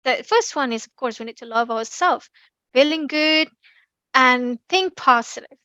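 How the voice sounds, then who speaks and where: A young woman with an Indonesian accent, giving a lively online class talk with many ums and restarts. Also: sits high, a little slow, no echo. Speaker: community speaking presenter. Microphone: computer mic on a video call.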